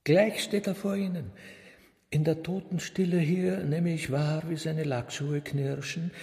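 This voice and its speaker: A man's voice: a little high, fairly even intonation, a little slow, darkish tone. An actor in a German radio play as a devil's henchman, announcing in a florid, theatrical way. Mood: gleefully expectant. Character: devil's theatrical male henchman, ornate master of ceremonies. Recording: long hall reverb.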